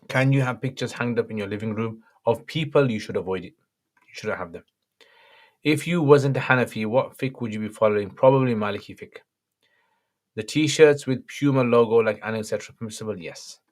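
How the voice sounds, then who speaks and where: A man with a slightly croaky voice, an Islamic scholar reading out and answering viewer questions on a livestream in brief, matter-of-fact replies. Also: mid-range voice.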